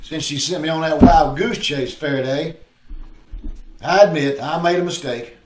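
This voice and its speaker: Man acting a high-pitched, cocky radio sleuth. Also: normal speed, very noisy, lightly acted. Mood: defensive, explaining. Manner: glib, bantering. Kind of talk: 1940s radio detective drama acting. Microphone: video call. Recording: roomy, echoing video-call audio.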